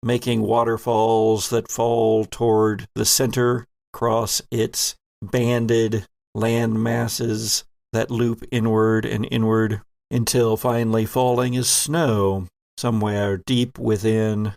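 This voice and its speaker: A man hosting and game mastering a horror audio drama, narrating with theatrical, deliberate phrasing. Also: on the low side, flat delivery, vocal fry, darkish tone, no echo, studio quality.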